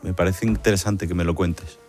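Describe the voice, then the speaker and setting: A woman hosting an interview, asking gentle questions and murmuring along attentively. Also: very deep voice, steady tone, fairly quick, faint music behind. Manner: attentive, encouraging, frequent murmured backchannels. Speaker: host.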